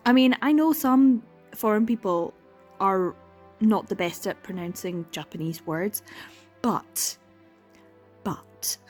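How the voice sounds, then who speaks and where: A woman's voice: a little high, a little mellow. A young woman in a casual reading vlog, talking through a manga with exasperated sighs, laughs and dramatic repetition. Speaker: book vlogger.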